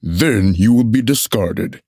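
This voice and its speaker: A man's voice: on the low side, takes it slowly, darkish tone, no room sound, clean studio sound. A man playing a stolid, dismissive official in a puppet show, with a matter-of-fact, bureaucratic delivery. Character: stolid, dismissive male official collecting banned books. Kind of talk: theatrical puppet show dialogue.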